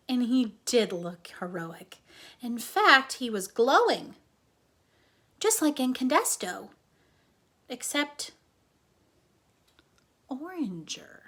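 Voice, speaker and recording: A woman reading a children's book aloud as a dramatic storyteller, often dropping into a breathy hush. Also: on the high side. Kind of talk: expressive children's book narration.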